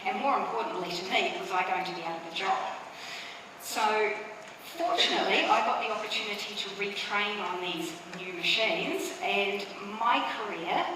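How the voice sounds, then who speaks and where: A woman's voice: medium pitch, noisy background. A middle-aged woman with a New Zealand accent giving a conversational conference keynote with fillers and asides, recorded in a large hall. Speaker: conference speaker. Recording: echoey hall, distant from mic.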